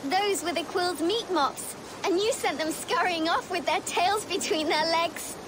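A woman's voice: very high-pitched, a little slow. An actress playing a cheeky, scrappy street-urchin girl in a game cutscene, brash and taunting. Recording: voice over noisy game ambience.

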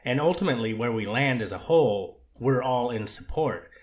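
A man, a treatment counselor, testifying as a witness in a courtroom, measured and guarded, speaking in institutional terms. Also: mid-range voice, expressive. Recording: echoey courtroom audio.

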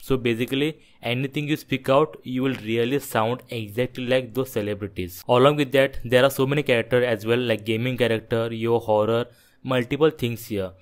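A man presents a screen-recorded software walkthrough. His voice sits at a medium pitch. He explains each step instructively, often circling back over the same phrases. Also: fairly even intonation, brisk. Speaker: tech tutorial presenter.

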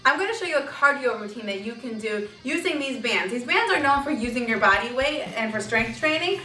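A woman's voice: a little high, animated, brisk, sharp tone, far from the mic. A woman in her thirties giving upbeat workout instruction and counting out reps, recorded in a home gym by a camera across the room. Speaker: fitness trainer. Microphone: camera mic from across the room. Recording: echoey room, voice from afar, faint music.

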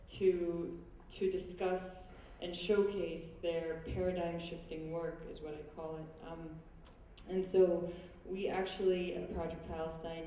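A woman's voice: fairly low pitch, mellow, recorded from a distance, slight background noise. A young woman giving prepared remarks at a student event, speaking hesitantly with many fillers and restarts into a handheld mic on a large stage. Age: young adult. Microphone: handheld mic, far camera. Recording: echoey hall recorded from afar.

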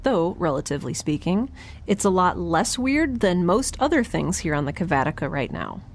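A woman's voice: lowish voice, sing-song, normal speed, a little hiss or hum. A woman playing a quirky young scientist in space, recording a chatty, wry spoken log for an audio drama. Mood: musing, wry. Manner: chatty, wry, self-interrupting, informal.

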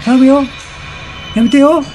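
A man giving casual, excitable let's play commentary, chatty and reactive, often repeating short phrases. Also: very high voice, a little slow, rough recording. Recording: game music behind the voice. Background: video game music.

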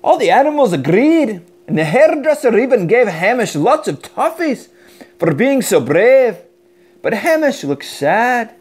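A man with a Scottish accent narrating a children's picture book in an energetic, expressive way. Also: very high-pitched, measured pace, breathy edge. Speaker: storybook narrator. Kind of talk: animated children's read-aloud.

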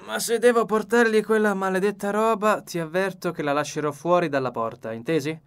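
A man's voice: high voice, a little fast, clear, resonant tone, voice pushed up. A man voicing a dry, reluctant middle-aged village doctor in an audiobook, curt and starchy. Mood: grudging. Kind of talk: audiobook character dialogue.

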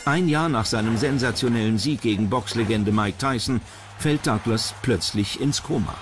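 A man's voice: mid-range voice, moderate pace. A man from reading scripted documentary narration. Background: music bed under the voice.

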